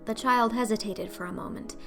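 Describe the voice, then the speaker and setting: Woman narrating a storybook over soft music. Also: a little high, brisk, gravelly. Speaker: audiobook narrator.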